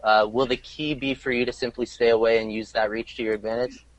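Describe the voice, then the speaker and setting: A man's voice: medium pitch, fairly even intonation, fairly quick, dry recording, low-quality recording. A man working as a reporter, politely putting questions to guests on a press conference call.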